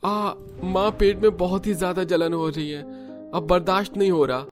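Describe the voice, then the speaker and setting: Suffering grown son, played by a man, quite high-pitched, groaning over music, animated drama. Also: normal speed, close to an everyday voice. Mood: pained. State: groaning in pain.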